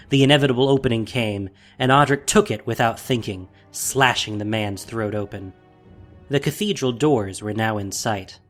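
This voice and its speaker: A man narrating a scripted fantasy story in a dramatic, solemn way. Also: on the low side.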